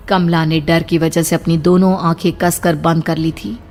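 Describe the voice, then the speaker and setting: A woman's voice: low voice, level tone, moderate pace, darkish tone, long reverb tail, soft background music. A woman giving scripted, dramatic narration of a cartoon horror story.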